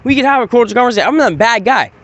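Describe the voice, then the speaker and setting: A man's voice: extremely high pitch, lively intonation, rapid-fire, a bit bright, highs cut off. A man in his forties filming police on a street at night, arguing in an insistent, repetitive, rambling way. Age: 40s. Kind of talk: heated street argument.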